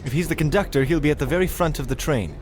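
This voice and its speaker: A man playing a pompous, deadpan famous detective in an old-time radio comedy. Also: fairly high pitch, fast talker, background noise, lightly acted. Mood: grave, dramatic. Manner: pompous, deadpan, literal-minded.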